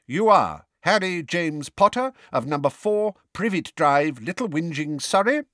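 A man's voice: fairly high pitch, expressive, unhurried, a bit bright, dry recording, clean studio sound, voice pushed up. A man voicing a pompous, testy middle-aged government minister in an officious, declamatory manner for a storybook. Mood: officious, accusing.

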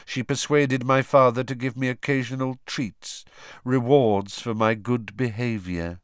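A man's voice: medium pitch, smooth, no room sound, clean studio sound, natural voice. Man as a dazed, expressionless confessing fanatic. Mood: flat confession. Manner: flat, expressionless, measured. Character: flat, dazed male fanatic confessing under truth potion.

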